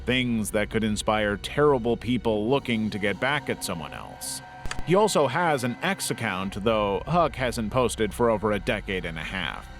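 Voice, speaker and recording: A man narrating a scripted documentary-style piece in a measured, serious way. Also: fairly low pitch, animated. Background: background music.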